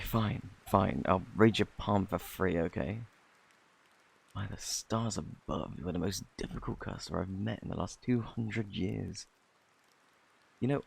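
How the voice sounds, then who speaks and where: Man playing a husky, gravelly British fantasy fortune teller. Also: low-pitched, full character voice. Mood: flustered, pleading, then boastful, exasperated. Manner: theatrical, flustered, coaxing, old-fashioned phrasing. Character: flirty, eccentric, boastful male fortune teller at fantasy inn. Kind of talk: ASMR roleplay, intimate scripted acting.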